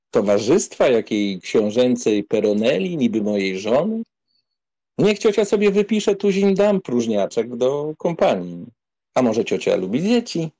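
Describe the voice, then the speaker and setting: A man's voice: mid-range voice, mellow. A man playing a flippant, teasing young aristocratic count in an audiobook drama, mocking and amused. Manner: mocking, amused, mock-solemn.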